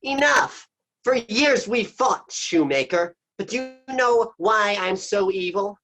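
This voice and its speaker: A woman's voice: mid-range voice, lively intonation, slow pace, a bit bright. A woman playing the big bad wolf, a bitter, mocking male villain in a community stage play. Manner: mocking, dismissive, theatrical.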